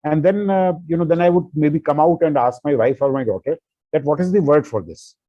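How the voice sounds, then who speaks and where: A man's voice: a little high, a little fast, dry recording, narrow, tinny audio. A man whose first language is not English, an author answering interview questions with many fillers and restarts.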